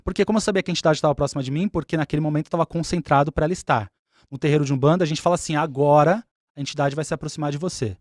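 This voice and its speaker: Man, fairly high voice, patient explainer, podcast guest, dry close sound. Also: rapid-fire, a little mellow, dry recording. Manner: explanatory, patient, repeats points. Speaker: umbanda spiritual leader and guest.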